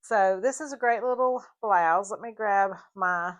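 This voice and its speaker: A slightly hoarse woman with a Southern US mountain accent, explaining her sewing project in a casual, halting tutorial with fillers. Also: mid-range voice. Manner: halting, with frequent fillers and restarts. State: a little hoarse. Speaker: sewing vlogger.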